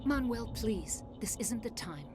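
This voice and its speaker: A rattled, protective lead researcher telling her story in an earnest, shaken way. Loud video game music swells under her voice. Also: a little high, expressive, measured pace. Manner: earnest, shaken, apologetic explaining. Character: shaken female lead scientist, middle-aged, protective.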